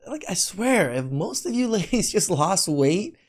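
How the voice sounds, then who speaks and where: A man's voice: sits high, sing-song, measured pace. A man hosting a reaction commentary, throwing in sarcastic, mocking asides. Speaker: host.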